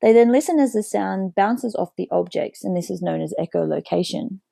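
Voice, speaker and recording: Gravelly-voiced woman teacher explaining. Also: mid-range voice, moderate pace, mellow, dry recording, studio quality. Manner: plain explanatory delivery. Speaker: biology teacher. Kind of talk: educational narration.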